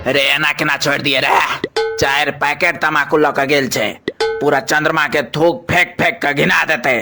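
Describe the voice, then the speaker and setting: A man's voice: a little high, fairly even intonation, normal speed, close to an everyday voice. A man with a Maithili accent voicing a pompous, easily exasperated scientist boss in a comic cartoon, boastful and scolding. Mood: urgent scolding. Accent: Maithili, Mithila region. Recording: music bed under the voice.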